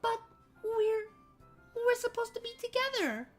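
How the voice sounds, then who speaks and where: A childlike female siren in a fan story reading. The voice is tiny and extremely high, with lively rises and falls. She is timid and pleading, tripping over her words, over music. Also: a little slow, heavily acted. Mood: hurt, pleading. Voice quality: small, thin voice. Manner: meek, stammering, pleading.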